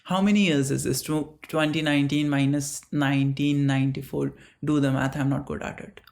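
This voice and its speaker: An author reading their own story aloud in a slow, halting way, often stopping for chatty asides. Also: mid-range voice, steady tone, gravelly, darkish tone.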